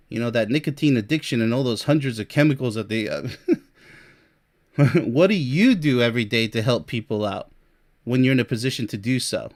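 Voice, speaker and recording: A man in his early forties giving a blunt, boastful monologue with sarcastic asides and short laughs, reading points off a list. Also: mid-range voice. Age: 40s. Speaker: relationship coach and vlogger. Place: Europe.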